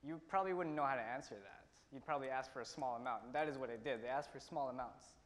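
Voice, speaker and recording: A teenage man from India tells a personal story in an earnest way, pausing and restarting as he goes. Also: mid-range voice. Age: teens. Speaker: student speaker. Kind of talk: prepared personal talk. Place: auditorium stage. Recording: long hall reverb.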